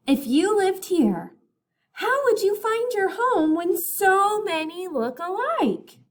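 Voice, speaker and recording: A woman reading a picture book aloud as a teacher to children, in a deliberate, expressive storytelling manner. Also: very high voice.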